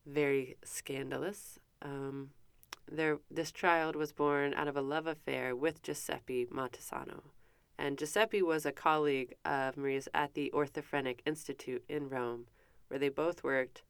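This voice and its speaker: Low, gravelly woman giving a casual talk. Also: no room sound. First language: not French. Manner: chatty, self-correcting, with asides and fillers. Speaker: presenter explaining an educator's life. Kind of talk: casual informal lecture.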